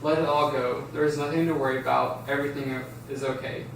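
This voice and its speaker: A man's voice: mid-range voice, level tone, fast talker, gravelly, natural voice. A man reading the part of a tired, stressed schoolboy brother in an amateur script table read. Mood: self-soothing. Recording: very echoey room, distant mic, background hiss.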